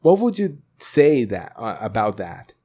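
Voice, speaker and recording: A man's voice: on the low side, sing-song, unhurried, warm tone, slightly rough. Man hosting a podcast, Portuguese native. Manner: encouraging, hesitant questions with fillers. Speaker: podcast host. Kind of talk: casual interview chat.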